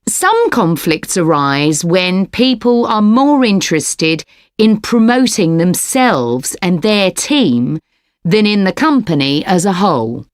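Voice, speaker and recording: A composed business studies lecturer, speaking slowly with lively intonation. Her scripted academic lecture is formal and explanatory. The recording is very dry. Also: lowish voice, dry recording. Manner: formal, clearly structured explanation.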